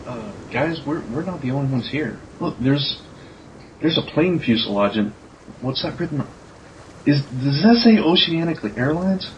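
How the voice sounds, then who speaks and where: A man's voice: on the high side, animated, normal speed, close to an everyday voice. A man playing a bold, exasperated captive adventurer in a parody radio drama. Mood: puzzled, exasperated. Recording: slightly noisy, dull lo-fi recording.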